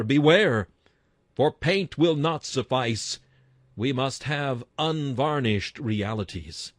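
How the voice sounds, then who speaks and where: A man's voice: medium pitch, no echo. A man reading an old-fashioned sermon aloud in a solemn, exhorting and rhetorical manner. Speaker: narrator reading a historical sermon. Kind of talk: dramatic read-aloud sermon in archaic English.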